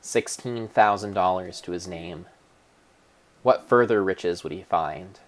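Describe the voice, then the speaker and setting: Low-voiced man narrating dramatically. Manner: theatrical, deliberate, mock-solemn. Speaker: narrator of a game playthrough. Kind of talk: dramatic storybook-style narration.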